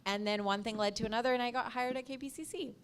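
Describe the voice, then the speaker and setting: A woman's voice: fairly high pitch, steady tone, fast talker, smooth. A young American woman, a podcast producer, telling her career story casually at a panel with a blunt, wry manner. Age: young adult.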